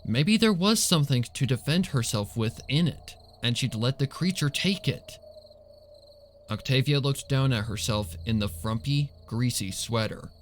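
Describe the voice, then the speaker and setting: A man reading a story aloud as a narrator, in an expressive, suspenseful, dramatic style. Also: mid-range voice, measured pace, a little hiss or hum. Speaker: fan fiction narrator.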